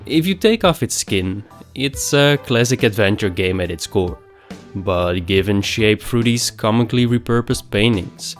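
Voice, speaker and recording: A man's voice: fairly low pitch, lively intonation, measured pace, faint music behind. A young man rambling casually through a game review, nasal from a recent cold, swearing offhandedly.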